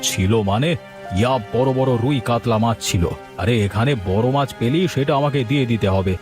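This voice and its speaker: A man voicing a greedy, overbearing village headman with a scolding manner in an animated story. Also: mid-range voice, a little fast, music in the background, lightly acted. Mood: angry, threatening. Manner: bullying, scolding.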